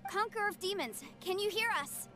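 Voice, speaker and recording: A woman's voice: very high-pitched, fairly quick, over loud music. A woman voicing a polite, earnest young adventurer in a video game. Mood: concerned.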